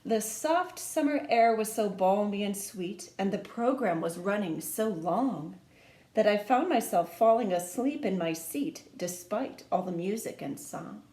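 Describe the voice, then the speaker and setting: A woman reading a children's storybook aloud in an expressive, sing-song storytime manner, speaking to young listeners like a teacher. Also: medium pitch, measured pace. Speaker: music teacher reading a picture book to children. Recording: echoey room.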